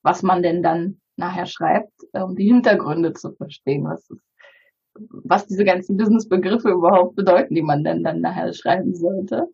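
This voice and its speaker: Husky-voiced woman in her thirties, hesitant. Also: on the low side, normal speed, darkish tone, highs cut off. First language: Bulgarian. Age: 30s. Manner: hesitant, many fillers and restarts. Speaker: interview guest. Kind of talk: casual interview talk.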